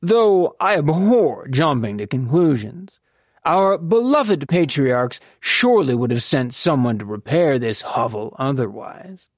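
A man's voice: medium pitch, big pitch swings, unhurried, no room sound, studio quality. A man voicing a cheeky, flippant young man with a fussy drawl in an audiobook. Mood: smug, provoking. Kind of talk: audiobook character dialogue.

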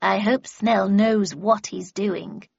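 A woman's voice: a little high, big pitch swings, moderate pace, dry recording, muffled recording, voice pushed up. A woman voicing an imperious, irritable older woman mentor with a brusque, scornful, commanding manner in an audiobook. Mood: dark muttering.

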